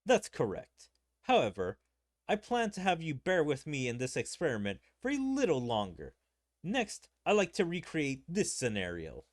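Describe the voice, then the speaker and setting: A man's voice: a little high, wildly swooping pitch, normal speed. A man voicing a composed, calculating fighter from an anime game, reading in a formal, theatrical way.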